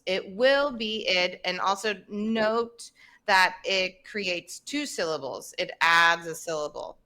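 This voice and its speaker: Us lady in her 30s, slow clear teacher talk, bright tone, webcam call. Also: medium pitch. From Tennessee, United States. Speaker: podcast host and English teacher. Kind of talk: casual teaching chat. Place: home room, indoors. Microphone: video call, webcam.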